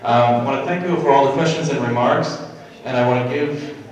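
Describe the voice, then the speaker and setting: A man's voice: medium pitch, fairly quick. A man moderating a public panel discussion, recorded in an echoey hall from a distance.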